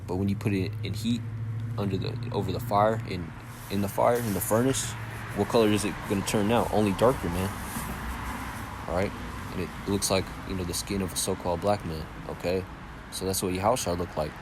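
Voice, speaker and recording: A young man preaching casually from scripture with a soft voice, checking in with "okay?" and "all right?" after nearly every phrase. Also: low-pitched, fairly even intonation, a little fast, noisy background. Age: young adult. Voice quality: soft-spoken by his own account. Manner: casual and insistent, often says "man". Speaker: bible teacher.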